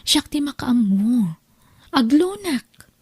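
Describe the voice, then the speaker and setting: High-pitched, emotional Ilocano woman acting a deceived sister. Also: big pitch swings, slow pace, a little mellow, breathy edge, heavily acted. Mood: sweet, earnest. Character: trusting, hardworking older sister, deceived and heartbroken. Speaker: actress in an audio drama.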